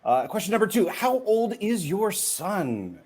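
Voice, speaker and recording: A man interviewing a guest in a relaxed, enthusiastic way, with many fillers and quick questions. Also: high voice, breathy edge. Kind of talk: casual chat.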